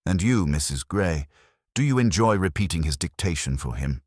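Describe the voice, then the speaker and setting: Guy doing a polite shrewd detective, super low husky voice, gently needling. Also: expressive, moderate pace, no echo, studio quality. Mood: quietly pointed. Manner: gentle, polite, quietly probing. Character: mild, courteous, shrewd male police inspector.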